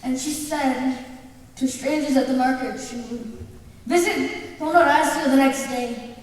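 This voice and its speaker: A woman playing an eerie stage storyteller, narrating deliberately and dramatically to an audience. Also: sits high, steady tone. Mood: dramatic, ominous. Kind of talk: theatrical scripted narration. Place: indoor theatre stage. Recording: echoey theatre stage, distant mic, music behind. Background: music.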